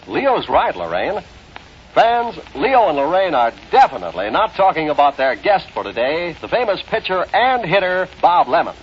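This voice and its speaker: Animated, high-voiced American vintage radio announcer, male. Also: normal speed, dull audio, slight background noise. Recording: band-limited early 1950s radio with music.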